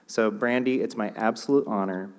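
Lowish-voiced man presenting an award. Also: fairly even intonation, moderate pace, a little mellow. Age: middle-aged. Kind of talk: formal prepared introduction speech. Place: large theater stage, indoors. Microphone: podium microphone. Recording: audience noise in the hall.

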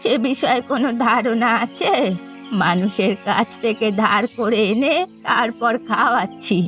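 নারীকণ্ঠে বিরক্ত, শাসন করা গ্রাম্য ঠাকুমা. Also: female voice, on the high side, measured pace, fairly bright tone, faint music behind. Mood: scolding. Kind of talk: cartoon drama dialogue.

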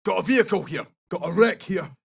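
An actor playing a tough, loyal Scottish special forces soldier in a video game, giving short, clipped callouts in a gravelly voice. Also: male voice, high voice, animated, distant mic, heavily acted. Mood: brisk, alert. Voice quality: husky, gravelly. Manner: clipped, brisk, urgent.

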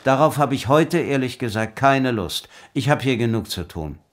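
An actor playing a firm, formal police chief in a German audio drama, brusque and authoritative. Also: male voice, medium pitch, lively intonation, normal speed, lightly acted. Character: gruff authoritative male police chief, secretly fair.

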